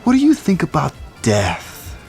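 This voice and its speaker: A man's voice: fairly high pitch, huge pitch swings, a little slow, creaky, a little mellow, exaggerated voice. A man playing a young record store clerk who loves goth music, with a playful, teasing way of talking. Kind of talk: audio drama acting.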